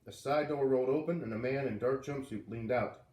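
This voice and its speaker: A man reads a children's story aloud, bouncing into character voices with big leaps in pitch. His voice sits in the middle of the male range. The sound is roomy and a little distant, as if the mic is across the room. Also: fairly quick, a little mellow. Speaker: reader reading aloud to kids.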